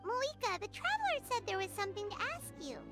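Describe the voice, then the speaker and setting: A woman's voice: very high-pitched, quick pace, exaggerated voice. A woman voicing a small, bubbly, cheeky fairy companion who calls herself by name, in video game dialogue. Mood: excited. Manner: excitable, talks of herself by name. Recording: game audio with soft music underneath.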